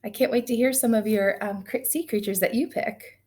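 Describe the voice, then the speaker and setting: A woman's voice: a little high, steady tone, moderate pace, darkish tone. A woman teaching young children in an encouraging, sing-song way, asking them questions as she counts, chants and tells simple stories.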